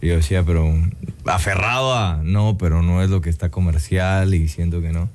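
A man's voice: low-pitched, normal speed, darkish tone, a little hiss or hum. A man from Guatemala, a singer chatting in an interview, thoughtful and full of "eh" fillers and tag questions. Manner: reflective, many "eh" fillers and tag "¿no?". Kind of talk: casual interview chat.

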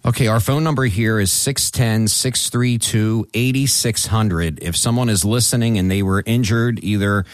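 A man's voice: on the low side, moderate pace, few pauses, no echo. A middle-aged man hosting a talk show, telling stories in a chatty, self-mocking way with many restarts. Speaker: radio show host. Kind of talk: casual talk-show chat.

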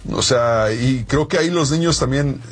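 A man from Mexico, co-host of a sports talk show, explaining youth soccer in an animated way. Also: medium pitch, normal speed, husky.

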